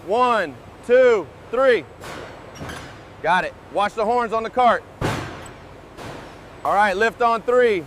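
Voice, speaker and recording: A high-voiced man calls out short, slow dock commands to his crew. Faint truck and outdoor noise sits behind his voice. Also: a bit bright. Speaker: dock worker. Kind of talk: calling out short work commands.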